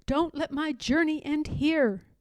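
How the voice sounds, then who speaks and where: A woman acting a pleading, high-voiced devout runaway woman. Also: takes it slowly, darkish tone, dry recording, studio quality. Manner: pleading, fervent. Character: Harriet, frightened devout enslaved young woman praying.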